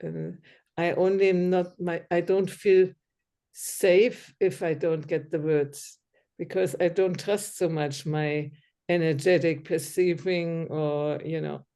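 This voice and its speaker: Mellow-voiced woman searching for words. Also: lowish voice, fairly even intonation, takes it slowly. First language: German. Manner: hesitant, searching for words, restarts. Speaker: discussion group member. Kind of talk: casual conversation.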